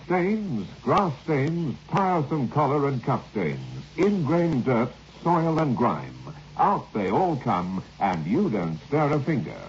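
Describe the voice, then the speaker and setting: A muffled old radio recording with some noise frames a male commercial announcer. He pitches in emphatic, clipped phrases, his intonation rising and falling in a lively way. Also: takes it slowly, few pauses. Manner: emphatic, clipped list-like selling. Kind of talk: vintage radio advertising read.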